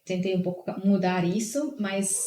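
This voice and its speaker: A woman's voice: mid-range voice, steady tone, moderate pace, mellow. A woman in her forties from northern Italy with an Italian accent, a guest chatting hesitantly and reflectively, with many restarts. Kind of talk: casual interview chat. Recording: roomy echo.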